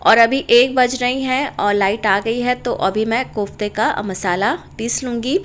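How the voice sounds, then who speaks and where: A woman's voice: fairly high pitch, steady tone, measured pace. A middle-aged woman casually narrating her daily home and cooking routine as a vlogger, her throat sore from a cold. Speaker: homemaker vlogger and mother.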